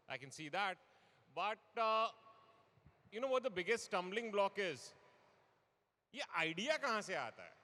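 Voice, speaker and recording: A man's voice: high-pitched, sharp tone. A man in his forties with an Indian accent, moderating a live panel with teasing, self-deprecating humour. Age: 40s. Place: large indoor hall with audience. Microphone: event microphone. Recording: echoey hall, live event PA.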